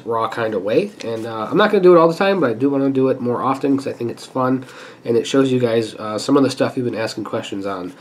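Stuffy-sounding man chatting at his workbench. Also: mid-range voice. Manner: relaxed and chatty, thinking aloud while working. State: stuffy from a sinus infection. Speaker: repair channel host. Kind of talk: casual hands-on repair walkthrough. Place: indoor workbench.

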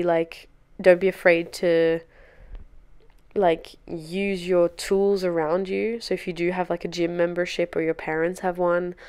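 A woman's voice: on the low side, a little mellow, clean tone. A woman in her twenties chatting candidly and encouragingly, like a podcast host giving casual solo advice at home. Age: 20s. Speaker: podcast host and dance teacher. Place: living room sofa, indoors.

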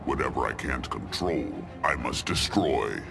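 An actor playing a towering alien tyrant god in a video game, cold, imperious and full of pompous contempt. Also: male voice, rumbling low, lively intonation, unhurried, gravelly, full character voice. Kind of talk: video game villain acting. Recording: loud music and effects behind.

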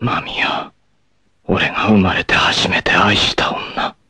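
An actor playing a proud, self-sacrificing long-haired martial artist in a 1980s anime, speaking solemnly and dramatically with fierce shouts in battle. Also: male voice, deep voice, fairly even intonation, slow, husky, no room sound, exaggerated voice. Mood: solemn reflective.

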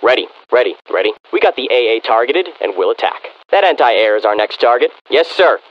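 An actor playing a disciplined American infantry soldier in a military video game, barking clipped, forceful reports to his commander. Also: medium pitch, expressive, a little fast, highs cut off, natural voice. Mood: alert, forceful.

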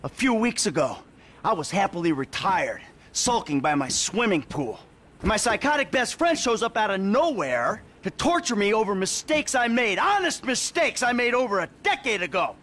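A man's voice: sits high, big pitch swings, moderate pace, a bit bright, heavily acted. A man playing a bitter, sarcastic, middle-aged retired criminal in a video game, exasperated and foul-mouthed. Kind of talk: video game cutscene acting.

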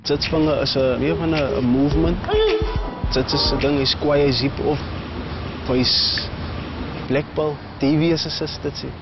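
Relaxed man. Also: on the high side, normal speed, long pauses, highs cut off, over loud music. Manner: relaxed, code-switching between Afrikaans and English. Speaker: ensemble member introducing the crew. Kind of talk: casual on-camera chat.

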